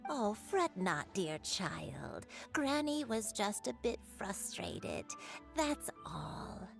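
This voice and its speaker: An actress playing a gentle, forgetful elderly grandmother in a video game, telling things in a slow, wistful way. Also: mid-range voice, huge pitch swings, creaky, soft background music, full character voice. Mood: apologetic, tender. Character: frail elderly granny, gentle, forgetful and self-blaming. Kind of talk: scripted video game dialogue.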